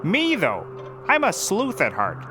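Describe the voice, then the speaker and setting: Clueless private detective, high and very lively, pompous, rambling, audio comedy, music bed. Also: male voice, unhurried, full character voice. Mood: dreamily confident. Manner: pompous, rambling, deadpan self-assurance. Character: cocky dim-witted male private detective, oblivious and drug-loving. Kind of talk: scripted comedy audio drama.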